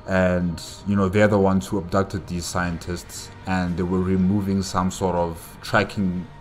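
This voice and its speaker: A man hosting a reaction video, talking through a casual recap and thinking aloud in a halting way. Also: deep voice.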